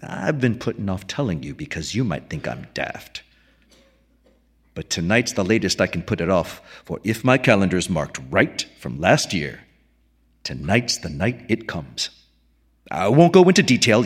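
A man voicing a brooding veteran lighthouse keeper in a story reading, wistful and mysterious, with a hushed, folksy, ruminative way of spinning a yarn. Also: low voice, very animated, normal speed, husky, voice pushed down, full character voice. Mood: confiding.

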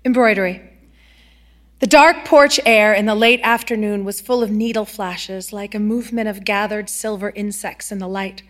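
A woman's voice: mid-range voice, fairly even intonation, moderate pace. A woman actor reading a literary short story aloud as a narrator.

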